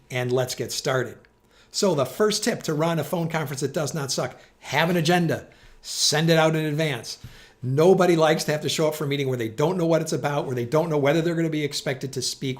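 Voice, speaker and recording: A middle-aged man presenting practical tips in an organized, plain-spoken explainer style, stressing his key points. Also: a little high. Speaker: presenter giving communication tips. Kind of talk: instructional explainer to camera.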